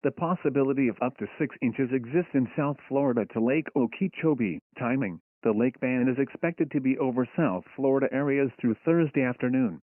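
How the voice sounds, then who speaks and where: A man's voice: medium pitch, brisk, few pauses. Synthetic man, automated weather alert announcer, formal and impersonal, narrow phone-like radio sound, dry with no room echo. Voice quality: synthetic text-to-speech voice. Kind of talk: scripted emergency weather bulletin narration.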